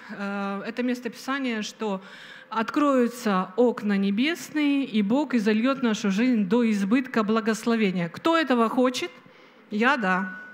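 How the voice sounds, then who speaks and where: A middle-aged woman delivers a sermon from a stage, holding a microphone. She speaks slowly and with emphasis, pausing often and filling gaps with hesitations. Her voice rings out with a long reverb from the hall. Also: fairly high pitch. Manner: slow, emphatic preaching with many pauses and fillers. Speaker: preacher. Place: stage of a large hall with an audience. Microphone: handheld microphone.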